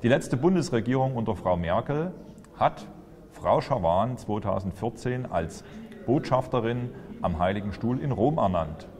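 A man's voice: medium pitch, animated, normal speed, a little mellow, big-room sound. A man in his fifties presenting a political video to camera, earnest and accusatory, with occasional fillers. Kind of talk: scripted political statement to camera. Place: indoors before a large window.

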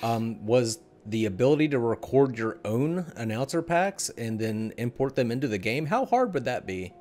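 A man's voice: mid-range voice, animated, normal speed, a little mellow. A middle-aged man hosting a casual podcast chat in a joking, teasing way, speaking into a headset in a room at home. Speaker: podcast host.

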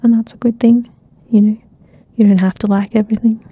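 A young woman hosting an ASMR channel, chatting softly and rambling with lots of fillers, often dropping into a whisper close to the mic. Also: on the high side, little pitch movement, a little fast, a little hiss or hum. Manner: soft-spoken, slips into whispers, many fillers. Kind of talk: soft-spoken rambling catch-up chat. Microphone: close ASMR microphone.

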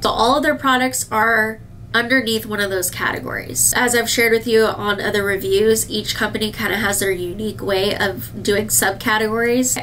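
Bright-voiced woman with a stuffy nose. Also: medium pitch, a little hiss or hum, rough recording. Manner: chatty, upbeat, addresses viewers directly. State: slightly nasal from a cold. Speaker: licensed esthetician reviewing skincare. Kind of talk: casual product review to camera. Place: indoor skincare treatment room.

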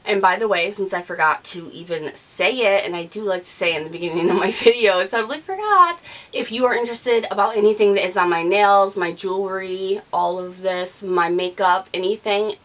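A woman in her thirties talking casually about her favorite beauty products, gushing and animated, recorded indoors on a studio mic. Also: medium pitch, fairly quick. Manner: chatty, gushing, animated with hand gestures. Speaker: beauty vlogger. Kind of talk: casual product review talk. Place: living room, gray couch, indoors. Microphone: studio mic off camera.

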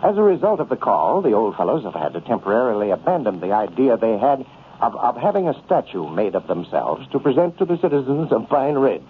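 A man's voice: medium pitch, sing-song, brisk. A man working as a radio announcer, delivering a scripted sponsor message and introduction in 1930s style. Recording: tinny noisy old radio broadcast.

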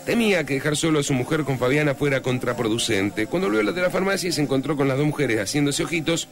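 A man's voice: fairly high pitch, quick pace, natural voice. A man playing a florid, mock-solemn narrator of a comic radio serial. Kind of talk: dramatic scripted narration.

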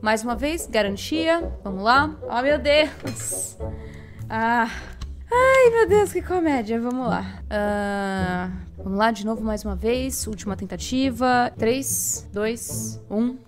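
Brazilian woman, fairly high voice, self-critical voice actress chatting casually between takes, clear music bed. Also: lively intonation, slow pace. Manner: self-critical, informal, swearing. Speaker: voice actress recording lines. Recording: music behind the voice.

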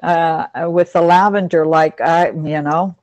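A woman's voice: low-pitched, steady tone, a little slow, a little mellow. A woman teaching an informal online class about pets, talking in a reassuring, instructive way to the group. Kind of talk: casual group video-call class.

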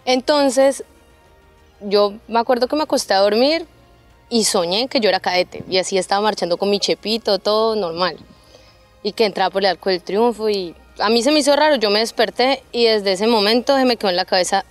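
A young woman with a Cali accent from Colombia speaks as an interview guest. She has a mid-pitched voice and an expressive, informal storytelling manner, with faint music behind her handheld microphone. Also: brisk. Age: 20s. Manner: expressive, informal, acts out remembered dialogue. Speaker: army junior officer, interview guest. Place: open front of a military hangar.

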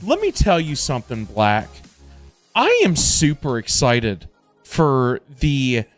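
A middle-aged man chatting casually to livestream viewers in an animated, emphatic way, often repeating himself for emphasis. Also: medium pitch, takes it slowly. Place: indoor home streaming setup. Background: music.

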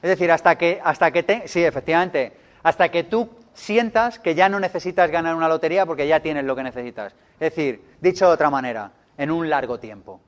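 A man's voice: fairly high pitch, a little fast. Playful Spanish man giving a seminar. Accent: Spanish from Spain. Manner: playful, joking, chatty. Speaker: seminar speaker. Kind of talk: live seminar talk to an audience.

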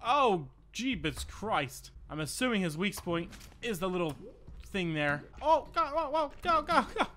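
A man hosting a let's-play, commenting excitedly and swearing freely, often repeating phrases in quick bursts. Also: sits high, slight background noise. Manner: foul-mouthed, exclamatory, repeats phrases in bursts. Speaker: gaming video host.

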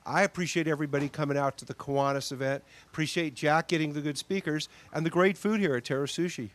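Man, quick upbeat delivery, slightly high voice. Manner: upbeat community praise. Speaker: club member.

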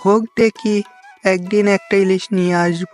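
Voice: mid-range voice, fairly even intonation, unhurried, a little mellow, natural voice. A narrator voicing a poor, simple fox husband in a cartoon story, with homely, easygoing village talk. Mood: placating. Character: poor fox husband, good-natured, simple, greedy woodcutter. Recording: roomy echo with music behind.

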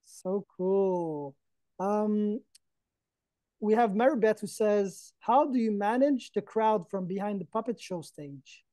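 A woman's voice: mid-range voice, slow, warm tone, no echo. A woman hosting casually over a video call, reading out audience questions.

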